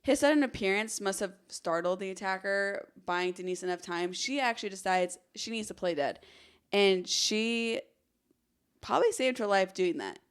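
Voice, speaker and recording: A woman's voice: medium pitch, expressive, moderate pace, clear, resonant tone. A woman hosting a true crime podcast, telling the story dramatically with casual conversational asides.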